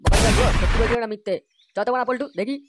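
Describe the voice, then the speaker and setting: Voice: medium pitch, fairly even intonation, rapid-fire, lightly acted. A performer voicing a hot-headed, crude young male layabout in a comedy cartoon, blustering and swearing. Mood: angry blustering. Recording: noisy background hiss.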